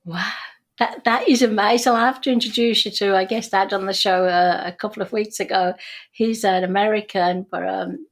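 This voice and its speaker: A woman's voice: medium pitch, steady tone, normal speed. An older woman with a British accent hosting a casual interview in a chatty, effusive and self-deprecating way. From Britain. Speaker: interview host.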